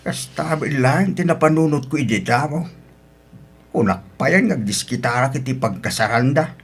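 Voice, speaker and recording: A man's voice: medium pitch, animated, moderate pace, slightly rough. A man acting in an Ilocano radio drama as a wary, disapproving older father of a grown daughter, speaking with an Ilocano accent.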